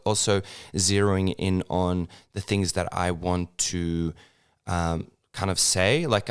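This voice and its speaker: Actor guest, man, low smooth voice, hesitant with fillers. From Serbia. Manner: hesitant, many fillers and restarts. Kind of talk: casual interview conversation.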